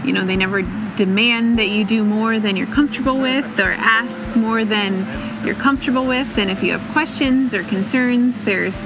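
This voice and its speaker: A woman volunteer speaking thoughtfully and gently in an interview, with soft fillers between thoughts. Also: a little high, normal speed, poor audio. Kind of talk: interview reflection.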